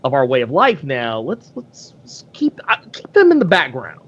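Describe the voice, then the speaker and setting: A man's voice: mid-range voice, wildly swooping pitch, normal speed, breathy edge. Hesitant man on a slightly dull call. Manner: hesitant, thinking aloud with frequent restarts. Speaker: podcast guest. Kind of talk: casual live comic book discussion.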